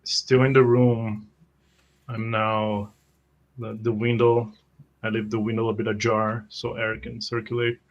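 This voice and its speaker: A man's voice: on the low side, flat delivery, measured pace, dull audio. A man narrating his own character's actions in a tabletop roleplaying game. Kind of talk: improvised first-person roleplay narration.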